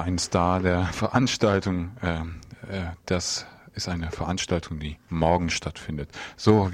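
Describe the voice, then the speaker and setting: A man presenting live radio in a halting, improvised way. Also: sits low. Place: radio studio.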